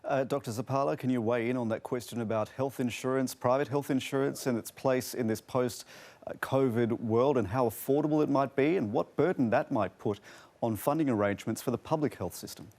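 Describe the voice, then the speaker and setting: Man, Australian accent, news presenter, broadcast interviewing, medium pitch. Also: fairly quick, a little mellow.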